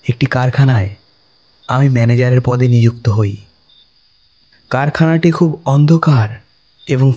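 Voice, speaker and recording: A man tells a ghost story from his own point of view, keeping a measured, even pace. His voice is husky and sits at a middle pitch. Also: lo-fi sound. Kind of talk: first-person ghost story narration.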